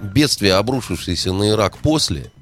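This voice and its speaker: A man's voice: lowish voice, animated, normal speed, soft background music. A man in a heated political discussion, indignant, firing rhetorical questions and hesitating often.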